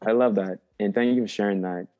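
A young man hosting a casual interview podcast, animated and playful, laughing often and drawing out names for fun. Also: on the low side, fairly quick. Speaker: podcast host.

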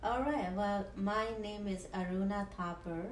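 A woman, giving a halting personal testimony as a guest, with many pauses and ums. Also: medium pitch, a little hiss or hum. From Punjab, India. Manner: halting, with frequent ums and restarts. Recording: echoey room.

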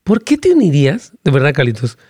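A man's voice: fairly quick, breathy edge, no room sound, studio quality. Fervent praying male pastor. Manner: fervent, rhythmic praying with emphatic repetitions; animated, rising pitch when arguing a point. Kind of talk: live-streamed sermon and prayer with Q&A.

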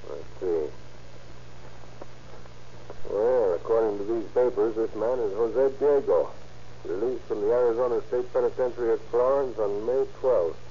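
An actor playing a brisk, commanding homicide captain in old radio crime drama style, clipped and authoritative. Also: male voice, on the low side, dark tone, close to an everyday voice. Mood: probing. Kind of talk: 1930s-40s radio crime drama acting. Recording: muffled, noisy old-time radio recording.

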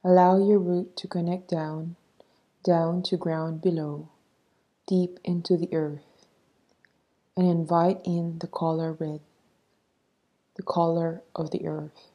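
A woman leading a guided meditation in a soft, breathy voice, soothing and measured as she gives instructions. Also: on the low side, flat delivery.

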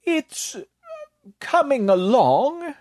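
A man's voice: very high voice, very animated, very slow, a little mellow, no room sound, clean studio sound, voice pushed up, full character voice. A man voicing a gleeful, mocking inhuman librarian inside a host body. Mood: smug. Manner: chuckling, mocking.

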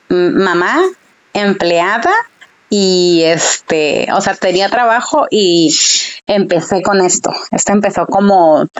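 A woman's voice: medium pitch, dull audio. A woman, a small business owner telling her story in a casual interview, chatty and full of fillers. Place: Tijuana.

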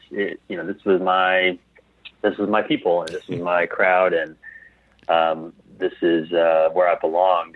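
A man as an interview guest, telling anecdotes casually and haltingly over a tinny remote call. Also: deep voice, dry recording. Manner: halting, repeats phrases as he thinks aloud.

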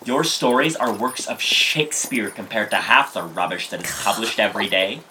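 A woman playing a teasing, excitable teenage boy in a period audio play, mischievous and wheedling. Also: very deep voice, normal speed, low-quality recording. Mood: enthusiastic. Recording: noticeable background noise and clicks.

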